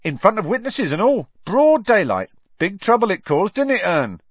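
Excitable, high-pitched cockney lad. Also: male voice, normal speed, no room sound, dull audio. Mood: gossipy relish. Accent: London working-class. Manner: gossipy, dramatic, colloquial, tag questions. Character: chatty, excitable young cockney male bus conductor.

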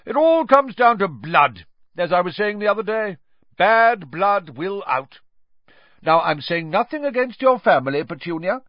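Man putting on a high shrill drunken aunt, sneering, bullying, dull lo-fi sound. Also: sing-song, unhurried, no echo. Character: loud drunk bullying middle-aged woman.

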